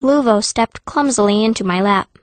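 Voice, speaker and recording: A woman's voice: medium pitch, moderate pace, no room sound, natural voice. An actress voicing a fierce, blunt young girl mage who narrates an audiobook in the first person. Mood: narrating. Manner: blunt, youthful.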